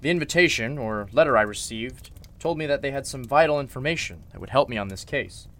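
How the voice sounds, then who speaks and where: A man playing a polite, level-headed lawyer in an old-time radio drama, speaking in a measured, slightly formal way. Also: mid-range voice, lively intonation, fairly bright tone. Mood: thoughtful, concerned.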